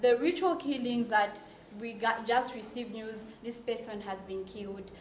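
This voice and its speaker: A woman speaks off the cuff in a fairly high voice. She is emphatic, repeating phrases as an advocate. The recording is echoey, with clear noise behind her. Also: normal speed. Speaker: advocate for people with albinism. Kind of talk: impromptu speech to news. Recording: roomy echo, noisy background, clicks.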